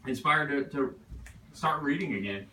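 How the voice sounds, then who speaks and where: A man speaking as an author in a casual question-and-answer session, in a halting, self-deprecating way with casual swearing. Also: a little high, expressive, pushed and bright, far from the mic, a little hiss or hum. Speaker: author at a reading. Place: bookstore event space. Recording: roomy, echoey, picked up from afar.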